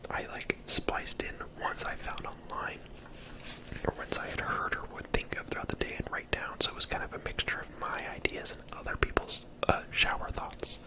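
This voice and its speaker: A man whispering softly in a casual, rambling, self-deprecating ASMR commentary on his own videos. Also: moderate pace, poor audio. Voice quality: soft breathy whisper.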